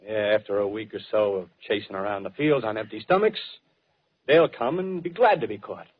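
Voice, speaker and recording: An American actor playing a fanatical, rough-spoken soldier survivor in a 1930s radio drama, talking in clipped, insistent slang. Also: male voice, lowish voice, animated, brisk, slight background noise, natural voice. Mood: fierce, insistent. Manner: colloquial tough-guy talk, clipped phrases, insistent. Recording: dull, muffled 1930s radio broadcast recording.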